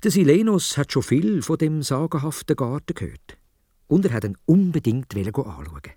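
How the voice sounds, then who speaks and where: Husky Swiss German man telling children's stories. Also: a little high, moderate pace, no room sound, clean studio sound. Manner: expressive, playful telling. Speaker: storyteller. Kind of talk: children's storytelling in dialect.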